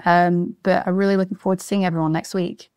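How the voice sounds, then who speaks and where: A woman's voice: lowish voice, little pitch movement, fast, darkish tone, clean tone, no echo. A woman who grew up in Asia, a managing director on a podcast, chatting upbeat and reeling off long lists of names. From Asia (born in Singapore, grew up in Hong Kong and Japan). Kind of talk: casual podcast chat.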